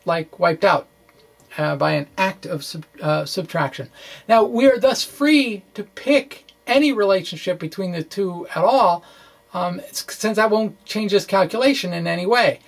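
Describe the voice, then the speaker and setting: A man presenting physics in an informal lecture style, with halting delivery, false starts and folksy, playful phrasing. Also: high-pitched, gravelly. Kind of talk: informal lecture walkthrough of equations.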